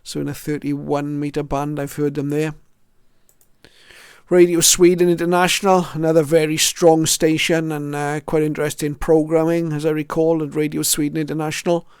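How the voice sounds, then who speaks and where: A mature man presents his hobby collection in a casual, reflective way. His voice sits a little high and stays even in pitch, with a clean, smooth tone. He moves through items one by one, often hesitating as he goes. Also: normal speed, no room sound, clean studio sound. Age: middle-aged or older. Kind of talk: casual show-and-tell narration.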